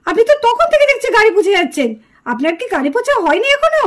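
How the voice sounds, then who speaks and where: A woman's voice: very high-pitched, sing-song, racing. A woman voicing an irritable, scolding young female student doll in a puppet drama. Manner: scolding, complaining.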